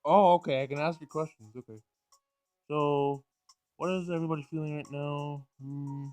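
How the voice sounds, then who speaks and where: A man's voice: fairly high pitch, steady tone, normal speed, a little mellow, smooth, no echo, soft background music. A man reading a visual novel aloud as he plays, sometimes stumbling over words and rereading lines. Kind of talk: Let's Play read-aloud of game text.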